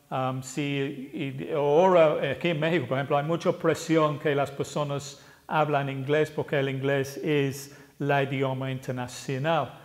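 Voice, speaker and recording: A man in his sixties from England, an English teacher with an English accent, talking casually to camera, often pausing and correcting himself. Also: on the high side. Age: 60s. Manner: hesitant, self-correcting, plain-spoken.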